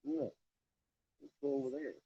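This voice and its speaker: A man's voice: unhurried, dark tone, recorded from a distance, dull audio. A man, a fishing buddy giving laconic, mumbled short replies while fishing outdoors at night. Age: 30s. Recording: faint, off-mic outdoors.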